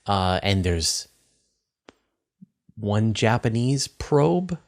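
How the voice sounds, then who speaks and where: Man with a fairly low voice, interviewing. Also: big pitch swings, extremely slow. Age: middle-aged. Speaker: interview host. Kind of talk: conversational interviewing. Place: indoors, room with shelves, video call.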